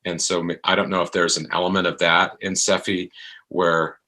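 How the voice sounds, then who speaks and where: A man's voice: sits low, little pitch movement, moderate pace, pushed and bright. A man in casual reflective discussion, speaking tentatively and searching for his words. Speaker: actor discussing his podcast drama.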